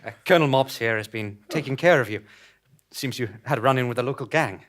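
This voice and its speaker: A man's voice: medium pitch, fast, fairly bright tone, natural voice. A man acting a matter-of-fact acquaintance in an audio drama.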